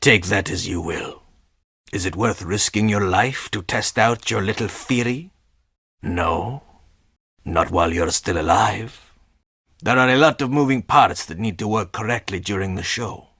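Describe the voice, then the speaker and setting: An actor playing a menacing, world-weary man in a video game, terse and deadpan. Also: fairly low pitch, breathy edge, no room sound, clean studio sound, full character voice. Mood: cold, guarded. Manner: terse, slow, ominous, deadpan. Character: menacing, world-weary male stagehand guarding a theatrical underworld. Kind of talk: video game character dialogue.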